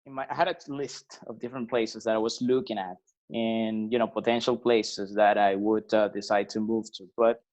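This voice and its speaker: A man from Venezuela, a musician guest on a podcast, talking in a relaxed, hesitant way with many fillers and restarts. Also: lowish voice, normal speed. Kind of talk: casual conversational interview. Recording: slightly dull lo-fi sound.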